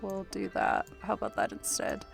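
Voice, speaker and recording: A woman streamer calmly thinking aloud as she plays, keeping an even tone over game music. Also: medium pitch, normal speed, gravelly. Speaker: gameplay streamer. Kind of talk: casual gameplay commentary.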